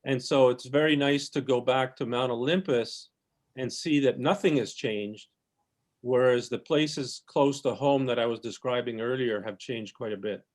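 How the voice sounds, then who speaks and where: A man telling a childhood nature memory on a video call, halting and often repeating words as he thinks. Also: medium pitch, a little mellow. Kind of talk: casual storytelling on a video call.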